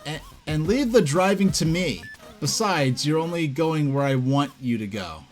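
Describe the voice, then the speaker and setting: A man voicing a gruff, bossy villain doctor from a comic, menacing and commanding. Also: a little high, lively intonation, moderate pace, faint music behind, natural voice.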